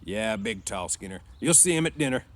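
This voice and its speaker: Ranch boss for storybook, read by a man: gruff, domineering, mistrustful interrogator, slangy, very varied intonation. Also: on the low side, expressive, fairly quick, close to an everyday voice. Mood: stern warning.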